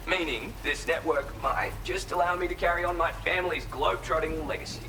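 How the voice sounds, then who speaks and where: A man's voice: fairly high pitch, fairly even intonation, a little fast, natural voice. An actor playing a laid-back, chatty male gear trader in a video game, talking in slangy, eager sales patter. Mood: upbeat, helpful. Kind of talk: video game cutscene acting. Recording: clear noise, rain behind the voice. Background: rain and ambient noise.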